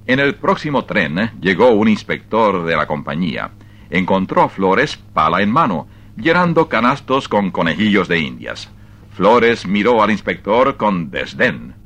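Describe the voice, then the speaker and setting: A man narrating a dramatized short story for radio in an expressive, measured storytelling style. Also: on the low side.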